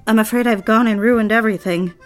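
Actress doing lovestruck young bride-to-be, earnest and a bit formal, old-fashioned romance drama, soft music in back. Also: female voice, on the high side, steady tone, quick pace, lightly acted. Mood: regretful, resolute. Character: lovestruck, conflicted young bride-to-be breaking off engagement. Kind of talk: romantic period audio drama acting.

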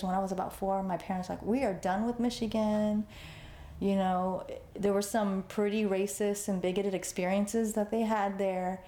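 A woman's voice: mid-range voice, dark tone, echoey room. A woman in her forties, born in Vietnam, telling her life story in a conversational interview as an artist guest, tagging her sentences with "you know" and "right?". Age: 40s.

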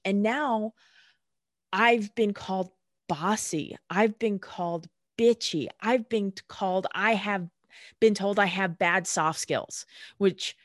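A woman's voice: mid-range voice, no room sound, clean studio sound. A woman guest speaking candidly in casual conversation, blunt and emphatic, swearing freely. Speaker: podcast guest.

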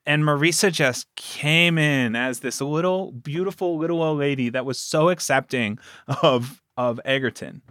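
A man speaking as a podcast guest in casual conversation, telling stories in an animated, emphatic way about his work as a game master. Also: a little high, moderate pace, few pauses, clear, resonant tone, no room sound, studio quality.

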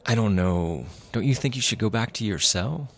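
A man playing a hesitant, lenient prison guard with a low voice in a comedy audio drama. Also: expressive, normal speed. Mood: hesitant, resigned.

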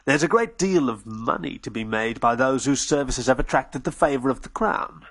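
A man voicing a proud, self-assured male werewolf gentleman who lectures smugly. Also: mid-range voice, moderate pace.

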